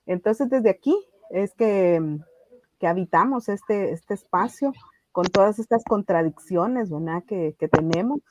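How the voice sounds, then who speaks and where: A woman with a Guatemalan Spanish accent, speaking reflectively and haltingly as a guest in an interview. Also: fairly low pitch, darkish tone. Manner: thoughtful, halting delivery. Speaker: activist guest. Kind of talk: reflective radio interview talk. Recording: slightly dull, lo-fi audio.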